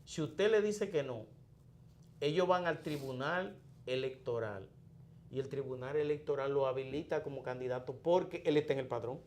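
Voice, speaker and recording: A man from the Dominican Republic with a Dominican Spanish accent, giving morning political commentary in a deliberate, explanatory way with restarts and fillers. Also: medium pitch, a little mellow, a little hiss or hum.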